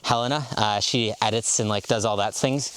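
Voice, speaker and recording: A man's voice: fairly low pitch, normal speed, a bit bright, smooth, no room sound. A man with an American accent introducing himself to the camera in a casual, chatty way, easygoing with filler words. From grew up in the United States. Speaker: guest vlogger, beginner.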